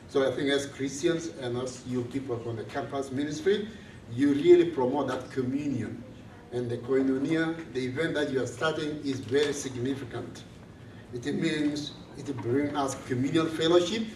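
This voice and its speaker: A priest from South Sudan, giving a measured, earnest talk to a student gathering. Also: male voice, mid-range voice, a little mellow, slight background noise. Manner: slow, measured, earnest speaking. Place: large room on a college campus. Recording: echoey room from far off.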